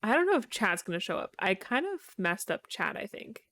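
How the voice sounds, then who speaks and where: A woman's voice: mid-range voice, big pitch swings, brisk, a bit bright, no echo. A woman livestreamer chatting casually to her audience in a playful, self-deprecating way. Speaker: livestreamer, VTuber. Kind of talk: casual gaming stream chat. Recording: music playing behind the voice. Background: background music.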